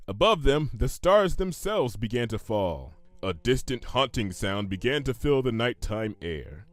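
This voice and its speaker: A man reading a comic story aloud in an expressive, scripted narration style. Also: medium pitch, measured pace, dry recording. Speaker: narrator, fan fiction reader.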